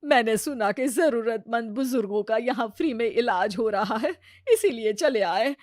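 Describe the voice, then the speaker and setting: A woman acts out an older mother-in-law in a moral tale. She is high-voiced, homely and long-suffering, gently pleading and resigned. The sound is dry and close. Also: normal speed, no echo, lightly acted. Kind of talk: acted dialogue in a Hindi moral story.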